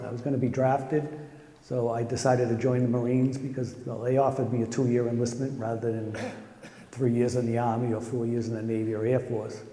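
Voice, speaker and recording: A man's voice: medium pitch, dark tone, reverberant, in a large hall. An older man, a veteran, plainly and matter-of-factly explaining why he enlisted. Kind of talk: recorded interview reflection.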